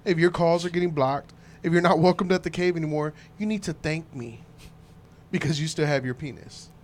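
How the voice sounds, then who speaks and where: A man's voice: fairly high pitch, brisk, slight background noise. Laughing, teasing podcast co-host, a man. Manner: laughs often, teasing and crude. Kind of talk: casual podcast banter. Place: home recording studio.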